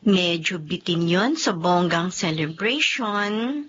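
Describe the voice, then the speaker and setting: A woman's voice: on the low side, lively intonation, takes it slowly, a bit bright. A woman playing a giggly smitten young coordinator. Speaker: Sabrina, event coordinator. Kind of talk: Tagalog radio drama acting. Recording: dull lo-fi audio with faint hiss.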